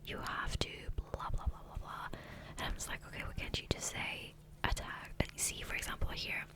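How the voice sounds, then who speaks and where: A woman whispering softly and breathily into a close microphone in a rambling, hesitant ASMR gameplay commentary, often trailing off. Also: moderate pace. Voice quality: soft, breathy unvoiced whisper. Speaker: ASMR gaming creator. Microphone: close USB condenser microphone.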